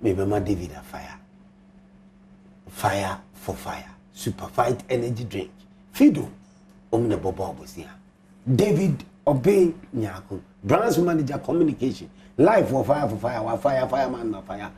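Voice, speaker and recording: A Ghanaian man in his thirties hosting a TV sports show in a studio, with an animated, exclamatory hype-man delivery. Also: medium pitch, moderate pace, a little hiss or hum. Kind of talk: lively TV sports talk mixing English and Twi.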